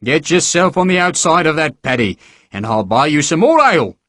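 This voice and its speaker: A man's voice: on the high side, sing-song, moderate pace, fairly bright tone, no room sound, studio quality, pitched above the performer's own voice. A man doing a hearty wheedling recruiting sergeant. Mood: boastful. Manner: jovial, wheedling, theatrical.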